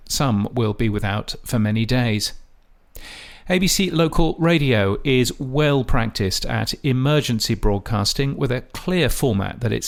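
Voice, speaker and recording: A man with a gravelly edge to his mid-pitched voice delivers scripted podcast commentary. His delivery is measured and dry. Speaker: podcast host. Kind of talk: scripted radio-industry commentary.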